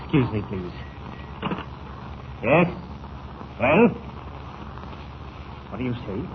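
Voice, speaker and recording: A masked criminal mastermind from a 1940s radio serial. He is formal and measured, with suave, calculating menace. The sound is dulled, with faint noise behind it. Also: mid-range voice, narrow, tinny audio. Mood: displeased, curt.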